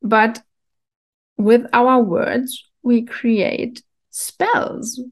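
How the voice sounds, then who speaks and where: Woman, kinda high voice, talks real slow and thoughtful, little soft laughs. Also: fairly even intonation. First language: German. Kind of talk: reflective solo talk.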